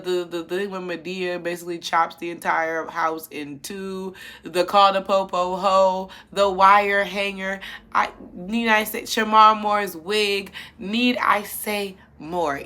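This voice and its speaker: A woman with a rather deep voice, chatting sassily through casual film commentary. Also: pushed and bright. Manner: sassy and chatty, says honey and okay.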